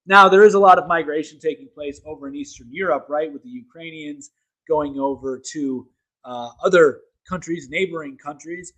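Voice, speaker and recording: Male livestream host, slightly high voice, forceful rambling delivery with frequent restarts. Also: moderate pace, rough recording. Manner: emphatic, rambling, self-correcting; reads articles aloud to viewers. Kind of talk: casual opinion livestream.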